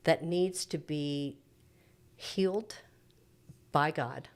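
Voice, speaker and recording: Middle-aged woman, low-pitched, explaining earnestly. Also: drawn-out words. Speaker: church preacher interviewed as podcast guest. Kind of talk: earnest reflective interview talk.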